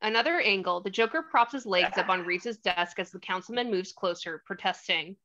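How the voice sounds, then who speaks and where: A woman narrates a script's stage directions at a table read. Her mid-pitched voice is bright and sharp. The recording is a little lo-fi. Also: lively intonation, brisk, slight background noise. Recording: slightly dull lo-fi audio.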